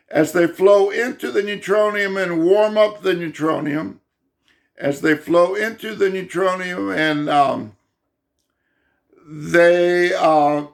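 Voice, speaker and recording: A man with a fairly high, gravelly voice that has gone hoarse talks through his ideas at a slow pace. His monologue is unscripted and halting, and he keeps checking in with his listener. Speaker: self-published video explainer.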